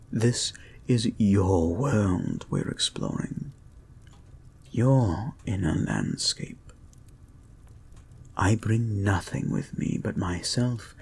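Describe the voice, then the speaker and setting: A man performs a creepy male hypnotist, low and gravelly, in an intimate audio roleplay. He speaks extremely slowly, with calm control, in a breathy murmur that slips into whispers as he guides the listener like an obsessive therapist. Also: full character voice. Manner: soft, breathy, deliberate murmur often dropping into whispers.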